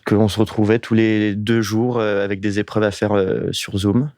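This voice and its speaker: Laid-back young man from Paris, low voice. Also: level tone, moderate pace, darkish tone, no room sound, studio quality. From Paris region, France. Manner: laid-back, short answers, many "ouais". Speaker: guest. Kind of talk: casual interview.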